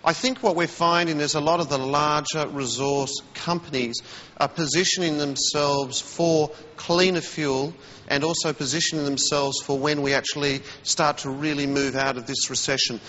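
Bright-voiced man on a roomy studio link. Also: fairly high pitch, fairly even intonation, muffled recording, slight background noise. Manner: measured, with frequent murmured acknowledgments. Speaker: commodities analyst guest. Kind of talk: live TV news interview. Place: remote TV studio.

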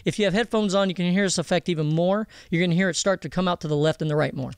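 Guy walking thru a mixing tutorial, higher voice, smooth and crisp, real dry close sound. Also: rapid-fire, dry recording. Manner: explanatory, checks understanding with "okay?", "all right?". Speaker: audio mixing instructor. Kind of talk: software tutorial, informal step-by-step explaining.

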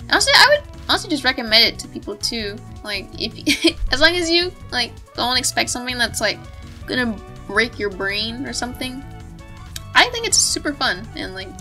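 Girl rambling casually like a youtuber, highish bright voice, kinda giggly, sweary, bit of music behind her. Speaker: video creator reviewing visual novels. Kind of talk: unscripted casual chat.

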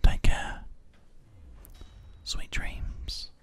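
A man whispers soft, unhurried, soothing ASMR commentary over his gameplay, breathy and close up on a binaural microphone. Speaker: host, gamer. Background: keyboard and mouse clicking.

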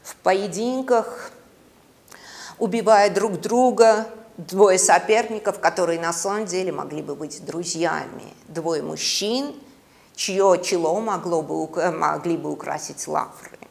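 A middle-aged woman giving a dramatic university lecture in a classroom, with theatrical, expressive storytelling and deliberate pauses. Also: fairly low pitch, creaky. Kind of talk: dramatic lecture on literature and mythology. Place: classroom, indoors.

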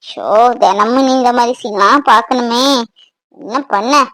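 A woman's voice: high voice, a little fast, dry recording, natural voice. A woman playing a resentful, defiant daughter-in-law in a family drama, complaining sardonically. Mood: scornful muttering.

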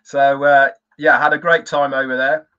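An older man, a guest on a video call, talking in a relaxed, hesitant way with many fillers. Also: medium pitch, moderate pace, clear, resonant tone. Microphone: webcam on video call. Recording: dull lo-fi call audio.

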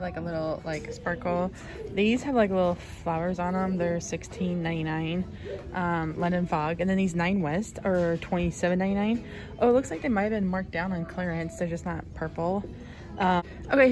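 An American woman narrates a shopping vlog in a casual, chatty manner. Her pitch sits in an average female range, and music plays behind her voice. The wording is informal and conversational. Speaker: shopping vlogger.

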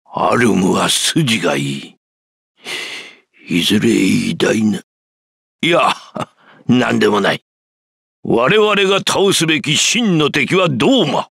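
An older man playing a humble, resolute old warrior in a video game, with a gravelly, forceful rasp. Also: mid-range voice, sing-song, normal speed, pauses often, dry recording, studio quality, heavily acted. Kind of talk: anime-style video game voice acting.

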